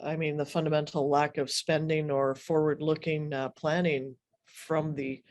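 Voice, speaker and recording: A woman's voice: low-pitched, flat delivery, normal speed, a little mellow. A woman, a junior mining company CEO, giving direct answers on an online panel.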